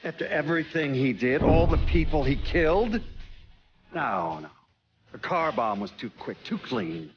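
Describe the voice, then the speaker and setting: Slow, brooding American man. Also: on the high side, sing-song, highs cut off, background noise. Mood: haunted, bitter. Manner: deliberate, brooding. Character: weary, haunted, brooding American man giving testimony. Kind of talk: video game cutscene monologue.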